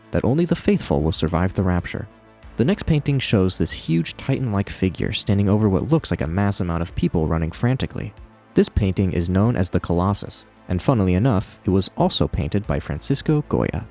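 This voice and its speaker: A man's voice: deep voice, a little mellow. A man hosting a video essay, narrating in a conversational commentary style with wry asides.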